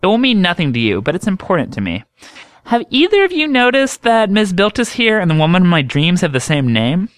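A man's voice: high voice, wildly swooping pitch, fairly quick, no echo, clean studio sound, voice pushed up. A man voicing an earnest young man who explains his strange dreams in a thoughtful, reasoning way.

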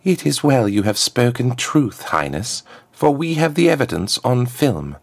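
A man's voice: mid-range voice, very animated, measured pace, pitched above the performer's own voice, heavily acted. A haughty woman ambassador, acted out by a male reader, lifted well above his natural register. The delivery is formal and smug, swooping with silky menace in storybook villain fashion. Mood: coolly accusing. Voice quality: smooth, lifted contralto.

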